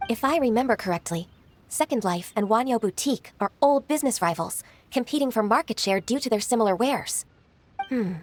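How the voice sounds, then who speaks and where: An actress playing a gentle, composed and shrewd young secretary in a video game, speaking politely and thoughtfully. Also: female voice, a little high, animated, fairly quick, a little hiss or hum, natural voice. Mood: calm, helpful.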